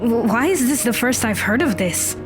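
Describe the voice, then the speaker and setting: A woman's voice: fairly high pitch, lively intonation, moderate pace, music in the background, natural voice. A woman playing a guarded, blunt teenage daughter in an audio drama. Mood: sullen.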